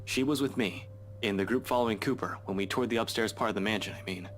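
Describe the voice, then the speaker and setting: An actor plays a young male investigator in a visual novel with a very deep voice. He comes across guarded and dry, clipped in his game dialogue. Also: fast talker, a bit bright, soft background music, close to an everyday voice. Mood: troubled.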